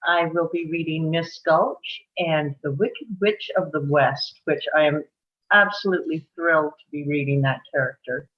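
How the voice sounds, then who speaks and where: A woman's voice: deep voice, normal speed. A woman politely introducing herself as a performer over a lo-fi microphone. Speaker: table read performer.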